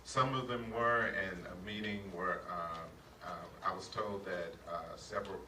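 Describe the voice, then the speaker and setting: A man answering questions at a public meeting in formal testimony, halting with many filler pauses and polite "yes, sir" replies. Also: deep voice, fairly even intonation, pushed and bright. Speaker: school district official answering questions. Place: public board meeting room. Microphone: shared table mic, often off-axis. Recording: echoey room, distant mic, noisy.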